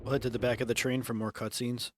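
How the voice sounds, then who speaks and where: A man giving casual, instructive walkthrough commentary on a video game, his voice congested and raspy from a head cold. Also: medium pitch, flat delivery, quick pace. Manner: casual, instructive, mildly profane. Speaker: gameplay commentator.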